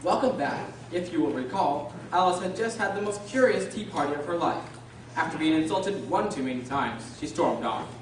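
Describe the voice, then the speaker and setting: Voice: mid-range voice, lively intonation, moderate pace. Narrator for radio drama: scripted storytelling, distant mic, strong room echo and clattering background. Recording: echoey hall, distant mic, clattering noise.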